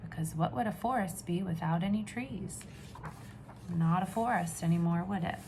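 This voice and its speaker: A woman teacher reading aloud to young children, with expressive questions and drawn-out emphasis. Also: lowish voice, a little hiss or hum. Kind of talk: children's read-aloud lesson.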